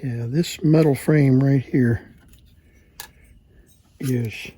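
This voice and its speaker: A husky-voiced man thinking aloud in a casual, halting mutter as he hosts a hands-on repair video. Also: medium pitch, steady tone, warm tone, dry recording. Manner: halting, muttering to himself while working. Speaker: repair hobbyist and video host.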